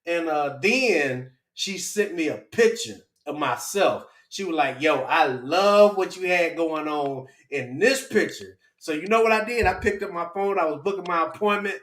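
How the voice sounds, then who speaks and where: A man's voice: on the high side, animated, moderate pace, hardly any pauses, fairly bright tone. A man hosting a casual livestream, chatty and jokey, poking fun at himself. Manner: jokey, self-deprecating, chatty. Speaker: live stream host. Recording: roomy, echoing livestream audio.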